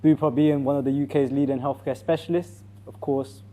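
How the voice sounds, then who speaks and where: Host guy with a warm mellow voice, easygoing interview questions. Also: mid-range voice, steady tone, brisk. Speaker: podcast host. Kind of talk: casual interview.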